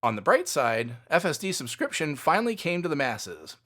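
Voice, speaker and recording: A man's voice: fairly high pitch, very animated, normal speed, bright, forceful tone. A man hosting a podcast, reading fluent, opinionated scripted commentary on company news. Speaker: podcast host.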